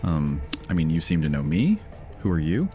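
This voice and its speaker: A man's voice: very deep voice, big pitch swings, moderate pace, dark tone. A man playing a brooding, defiant outcast vampire in a fantasy audio drama, with a sardonic way of talking. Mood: wary, sardonic. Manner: sardonic, hesitant fillers turning defiant. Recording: music under the voice.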